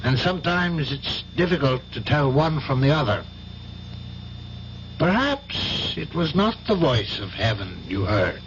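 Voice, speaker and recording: An actor playing a grave, gently probing priest in an old-time radio drama, measured and solemn. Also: male voice, mid-range voice, sing-song. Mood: grave doubt. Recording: dull, noisy old radio recording.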